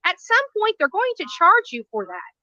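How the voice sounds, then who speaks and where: High, sharp woman's voice, slightly lo-fi. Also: wildly swooping pitch, moderate pace, no room sound. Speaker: YouTube commentator. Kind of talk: casual legal case commentary. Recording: dull lo-fi playback of video.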